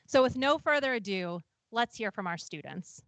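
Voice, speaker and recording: A woman's voice: fairly high pitch, expressive, normal speed, a bit bright, a little hiss or hum. A woman admissions director giving an upbeat, reassuring welcome to a virtual open house. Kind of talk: welcoming presentation.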